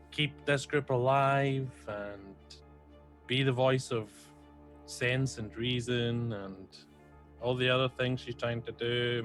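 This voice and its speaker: A man's voice: mid-range voice, fairly bright tone. A man introducing his tabletop character in a laid-back, wry way. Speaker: player. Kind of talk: casual tabletop chat.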